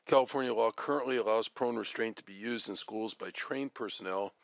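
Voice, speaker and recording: A man presenting a bill at a legislative committee hearing, reading prepared remarks in a measured way. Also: lowish voice, gravelly, fairly bright tone, dry recording. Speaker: state senator presenting a bill. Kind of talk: formal committee testimony.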